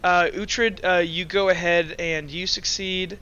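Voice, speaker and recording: High, bright-voiced man running a game. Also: fairly even intonation, a little slow, clean tone, dull audio. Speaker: game master. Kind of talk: improvised narration and rulings for a tabletop roleplaying game.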